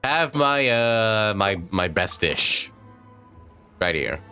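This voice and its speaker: A woman streamer chatting over her gameplay, giggling often and swearing freely. Her voice sits very low yet swings widely in pitch. Faint music plays behind her. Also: big pitch swings, drawn-out words, sharp tone. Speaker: game streamer. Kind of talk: casual gameplay commentary.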